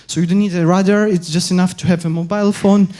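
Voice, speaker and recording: A young man from Ukraine giving an academic conference talk, halting with frequent pauses and restarts. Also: high-pitched, fairly even intonation, mellow. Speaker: conference speaker.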